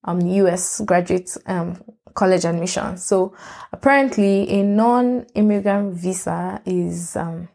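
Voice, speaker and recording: A woman's voice: on the low side, steady tone, a little slow, vocal fry, warm tone. A woman, hosting a solo podcast in a rambling, candid way that often corrects itself. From Nigeria. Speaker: podcast host. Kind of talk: casual solo podcast monologue.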